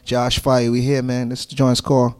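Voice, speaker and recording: A man's voice: mid-range voice, monotone, normal speed. A man from Brooklyn, a rapper guesting in a studio, talking confidently in hype street slang. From Brooklyn, New York.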